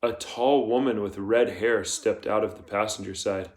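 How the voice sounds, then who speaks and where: A man's voice: fairly low pitch, normal speed, reverberant. A man reading a children's novel aloud as scripted audiobook narration. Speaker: audiobook reader.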